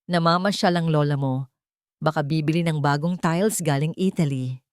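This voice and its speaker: A woman's voice: low voice, normal speed, no room sound, studio quality, natural voice. A woman voicing a sarcastic, gossiping neighbor woman who mocks as she speaks. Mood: mocking. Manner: sneering, mocking.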